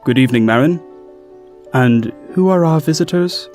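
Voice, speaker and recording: A man's voice: fairly high pitch, normal speed, darkish tone, faint music behind, lightly acted. A man playing a dignified, skeptical town watchman in a fantasy audio drama, speaking formally and precisely. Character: dignified, skeptical male town watchman, weary obsessive cloud observer.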